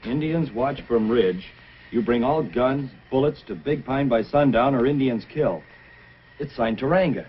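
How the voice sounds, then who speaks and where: Guy acting protective son in old radio show, earnest, impatient, average pitch, muffled. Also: a little slow, lightly acted. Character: impatient, protective son of trading-post keeper. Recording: dull old radio, faint noise.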